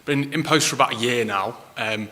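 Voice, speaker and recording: A man's voice: medium pitch, a little fast, sharp tone. A young man from Manchester with a Mancunian accent, giving an informal talk as a council climate officer, friendly and full of ums. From Manchester, England. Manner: friendly, lots of ums and kinda. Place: large hall at a place of worship. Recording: reverberant hall.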